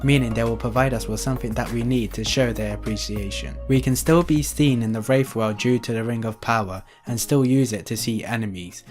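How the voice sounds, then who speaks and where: A man's voice: medium pitch, fairly quick, hardly any pauses, darkish tone. Man narrating gaming news over music. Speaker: gaming video host. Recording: music under the voice. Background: background music.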